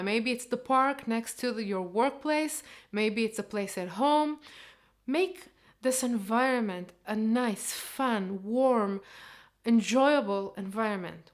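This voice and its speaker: A woman in her thirties with an Israeli accent, a Hebrew teacher talking earnestly to camera in a living room. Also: fairly high pitch. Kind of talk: casual vlog talk to camera.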